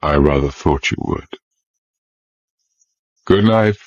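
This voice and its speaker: A man's voice: a bass voice, slow pace, muffled recording, full character voice. An actor playing a smug, urbane male villain in a radio drama who feigns friendship with insinuating politeness. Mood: falsely genial.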